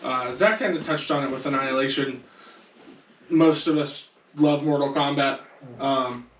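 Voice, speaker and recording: A man's voice: medium pitch, unhurried, a bit bright. A man co-hosting a podcast, moving a group ranking along in casual, conversational talk. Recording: echoey room, distant from mic.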